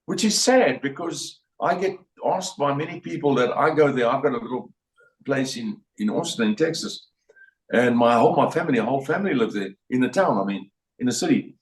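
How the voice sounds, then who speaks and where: A man's voice: medium pitch, expressive, brisk, distant mic. An older man with a South African accent, giving a blunt, rambling interview answer with many repeats and restarts. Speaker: interview guest. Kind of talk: opinionated interview talk. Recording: roomy sound, voice a bit off-mic.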